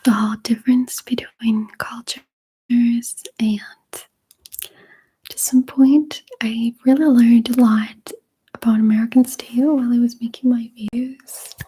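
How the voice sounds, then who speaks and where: A young woman with a Russian accent, whispering softly and hesitantly as she answers viewer questions. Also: fairly high pitch, steady tone, measured pace, breathy edge, low-quality recording. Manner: soft unvoiced whispering, hesitant with fillers. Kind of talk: whispered ASMR Q&A.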